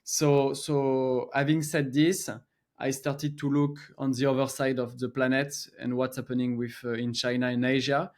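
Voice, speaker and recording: A man's voice: medium pitch, little pitch movement, a little mellow. A middle-aged man from France with a French accent, a company co-founder answering podcast questions with frequent fillers and restarts. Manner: halting, many filler pauses and restarts. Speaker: co-founder and gallery guest. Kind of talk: podcast interview.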